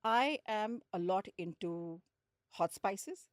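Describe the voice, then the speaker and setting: A woman's voice: medium pitch, lively intonation, takes it slowly, dry recording, clean studio sound. A woman with an Indian accent, talking conversationally and telling stories as a guest in an interview. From India, grew up in Lucknow and Hyderabad. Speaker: podcast guest, hospitality executive.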